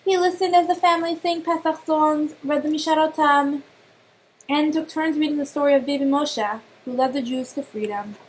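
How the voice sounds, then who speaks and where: Lady teacher reading a kids book out loud, high pitched, expressive, bit of noise behind her. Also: rough recording. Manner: expressive, pauses to question young listeners. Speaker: teacher reading aloud to children. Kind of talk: children's storybook narration.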